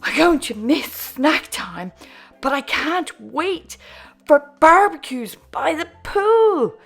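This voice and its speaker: Woman playing storybook schoolkid, eager and excitable, raised high pitch, very lively emphatic delivery. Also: takes it slowly, vocal fry, soft background music, full character voice. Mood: excited.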